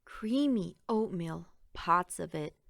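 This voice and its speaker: Slow, measured woman reading a children's picture book. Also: lowish voice, vocal fry, no echo, studio quality. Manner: measured, expressive, sing-song phrasing. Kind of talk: read-aloud children's storytelling in rhyme.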